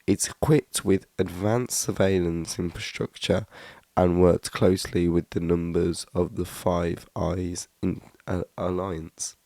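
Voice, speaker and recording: A man's voice: low-pitched, flat delivery, slow pace, warm tone, no room sound. A man reading a scripted documentary narration, stumbling now and then and restarting his sentences. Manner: reads a script with frequent slips and restarts.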